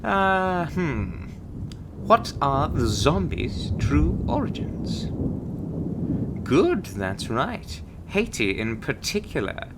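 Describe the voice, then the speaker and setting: A man's voice: on the high side, very animated, extremely slow, very noisy, exaggerated voice. A man playing a suave, condescending, secretive monster-hunting agent in a scripted ASMR roleplay, with a theatrical drawl and pet names for the listener. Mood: quizzing, patronizing. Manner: theatrical, drawling, calls listener darling and doll. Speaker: voice actor in an audio roleplay.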